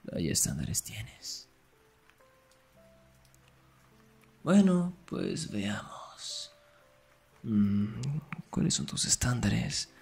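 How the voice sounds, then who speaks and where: A man acting a young, sarcastic and jealous best friend in an ASMR roleplay, teasing in a breathy voice that often drops toward a whisper. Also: on the low side, big pitch swings, extremely slow, a little mellow, soft background music, full character voice. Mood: jealous, needling.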